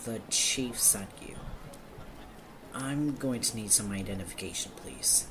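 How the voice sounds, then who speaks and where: Low-voiced, awkward police officer played by a man. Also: measured pace, noisy background, close to an everyday voice. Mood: wary. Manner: awkward, hesitant, apologetic. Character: nervous, awkward male small-town police officer investigating murders. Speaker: police officer character in audio roleplay. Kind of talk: audio roleplay acting, one-sided dialogue.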